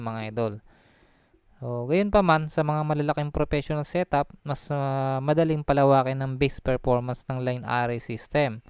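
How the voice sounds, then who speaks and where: A man's voice: mid-range voice, darkish tone, smooth, no echo, studio quality. A man talking through a casual explainer, chatty, full of fillers and restarts, dropping his voice on tag phrases. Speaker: channel host explaining audio gear. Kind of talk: casual explainer, voice-over on slides.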